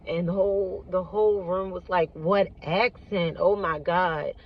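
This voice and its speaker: A woman's voice: on the low side, steady tone, a little slow, recorded from a distance. A woman with a Baltimore accent talking to camera from a moving car, earnest and reflective, repeating phrases for emphasis. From Baltimore, United States. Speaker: vlogger. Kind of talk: reflective casual monologue. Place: in a moving car on city streets. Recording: dull phone audio with loud road noise.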